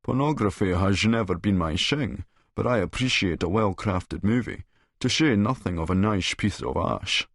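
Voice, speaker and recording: A Scottish man reads a first-person story with a sardonic edge. His voice sits fairly low and is recorded close and dry. The delivery is dramatic and sneering. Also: animated, moderate pace, creaky, no echo. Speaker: audiobook narrator and actor.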